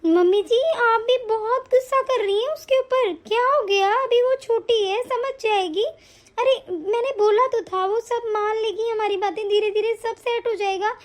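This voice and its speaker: A woman's voice: very high-pitched, moderate pace. A woman playing an exasperated elder daughter-in-law who tries to reason and keep peace in a family melodrama. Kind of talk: domestic family melodrama acting.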